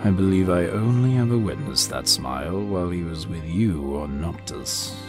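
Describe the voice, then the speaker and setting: A man playing a grave, loyal guardian warrior in an audio drama, speaking in a formal, measured way. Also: deep voice, animated. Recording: prominent music under the voice.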